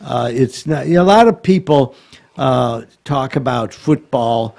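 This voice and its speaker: A man hosting a casual talk show, speaking haltingly with many filler sounds. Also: medium pitch, expressive, creaky, a little mellow. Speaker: host and law firm founder.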